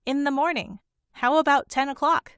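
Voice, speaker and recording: A woman's voice: high-pitched, fairly even intonation, moderate pace, a bit bright, no room sound, studio quality. A woman reading a scripted listening-test dialogue with careful delivery. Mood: friendly.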